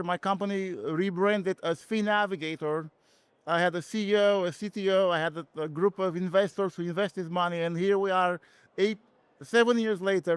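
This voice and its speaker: A middle-aged man with a Romanian accent, telling his own story earnestly and haltingly as an interview guest. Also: high voice, clean tone, no echo. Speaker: interview guest, company founder. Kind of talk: personal story in a podcast interview.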